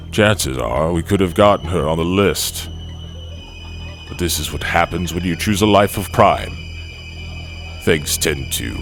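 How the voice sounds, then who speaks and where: Very deep, gravelly cannibal gentleman. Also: full character voice. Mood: grandly gloating. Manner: theatrical, mock-polite, savouring his words. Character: suave, sinister cannibal gentleman, cruel and mockingly courteous. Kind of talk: horror audio drama acting.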